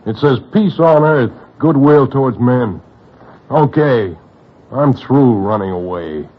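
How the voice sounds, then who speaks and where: Bighearted, animated tough crook. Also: male voice, mid-range voice, slow. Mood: resolute. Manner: emphatic, clipped, tough-guy talk. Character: bighearted, resolute crook going straight. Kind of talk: vintage radio drama acting. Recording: muffled, noisy vintage radio recording.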